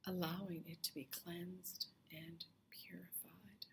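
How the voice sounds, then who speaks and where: Soft, low-voiced woman guiding meditation. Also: flat delivery, slight background noise. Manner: soft, hushed, often breathy phrase endings; slow, soothing, with long pauses. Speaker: guided meditation leader. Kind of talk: slow guided meditation.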